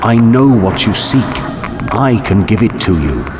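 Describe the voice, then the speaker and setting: Proud fallen angel from a game, low voice, really slow and solemn, loud music behind. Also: male voice, animated. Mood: solemn bargaining. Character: proud fallen male angel of purgatory, pleading yet imperious. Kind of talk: formal, archaic fantasy game dialogue.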